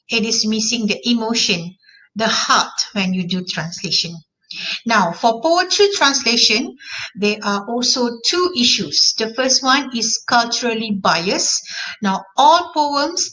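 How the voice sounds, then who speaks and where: Malaysian woman, lecturer, clear bright voice, slightly high, emphatic teaching. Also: rough recording. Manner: emphatic, repeats key terms. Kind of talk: online class lecture.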